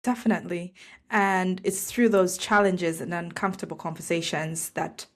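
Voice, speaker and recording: A woman from Zambia is hosting a podcast, with a somewhat low voice. She talks casually, agrees with her guest and asks open questions. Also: fairly even intonation, moderate pace. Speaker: podcast host. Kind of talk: conversational podcast interview.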